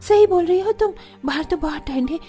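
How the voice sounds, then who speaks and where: A woman's voice: very high voice, brisk, mellow, faint music behind. A woman voicing a bratty, lazy young sister-in-law in a cartoon, whiny and demanding. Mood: bossy. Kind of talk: Hindi cartoon dialogue acting.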